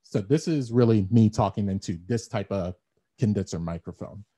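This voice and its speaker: A man with a deep baritone voice giving an instructional talk, explaining things step by step and repeating phrases as he thinks. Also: moderate pace, dark tone, slightly rough. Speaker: presenter. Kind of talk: instructional talk on audio gear. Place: small bare room at home. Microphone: desktop condenser mic, then earbud headset. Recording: dull lo-fi earbud audio with echo.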